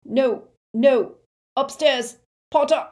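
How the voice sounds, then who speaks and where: Woman narrator doing a scared dad wizard, high wailing voice, begging and tearful, audiobook. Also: slow, dark tone. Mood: desperate pleading. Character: desperate, sobbing, pleading father, a frightened wizard.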